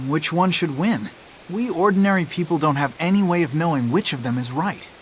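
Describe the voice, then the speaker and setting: A man's voice: fairly high pitch, a little fast, slight background noise, lightly acted. A man voicing a sceptical, level-headed teenage boy in a video game, casual and reasoning. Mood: calm, sceptical.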